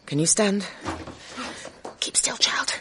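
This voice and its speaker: A woman's voice: takes it slowly, lightly acted. Actress as a tense woman criminal, noisy backdrop. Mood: anguished, tender. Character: tense female accomplice in a murderous robbery. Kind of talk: radio drama acting.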